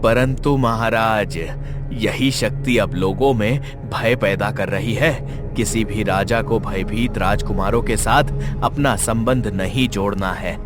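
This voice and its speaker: A man playing a grave, respectful royal minister, speaking in a measured, deferential way in an animated folk tale. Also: on the low side, over music, close to an everyday voice. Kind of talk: animated moral tale voice acting.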